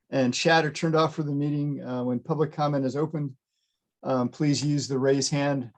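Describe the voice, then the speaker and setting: A man runs a community advisory meeting over a video call, his voice sitting a touch high for a man. He talks haltingly, with many fillers and restarts. The sound is ordinary video call audio. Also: darkish tone. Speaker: meeting chair. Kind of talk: virtual meeting chairing.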